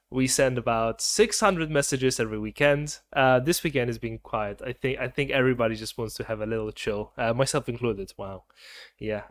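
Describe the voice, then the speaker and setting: Young male vlogger, casual and rambling, mid pitch, dry close recording. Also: a bit bright, no room sound. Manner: chatty, rambling, self-correcting. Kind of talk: casual chat to camera.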